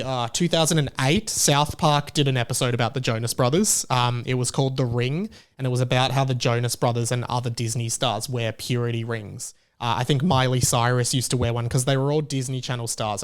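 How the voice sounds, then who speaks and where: A man hosting his own podcast, chatting casually on his own in an animated, rambling way, often restarting sentences and sounding openly incredulous. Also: mid-range voice, clean tone. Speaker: podcast host. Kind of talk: casual solo podcast chat.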